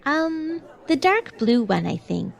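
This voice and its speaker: A woman's voice: high voice, very animated, takes it slowly, creaky, full character voice. A woman playing a sweet, polite, easily flustered teenage girl in a 1940s-style radio comedy. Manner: hesitant, earnest.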